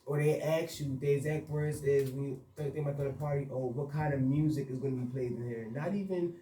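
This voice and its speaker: A man's voice: medium pitch, steady tone, brisk, roomy sound, far from the mic, a little hiss or hum, poor audio. A young man, a party promoter speaking earnestly in a radio interview. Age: young adult. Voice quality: soft voice. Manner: earnest, frustrated storytelling with frequent fillers.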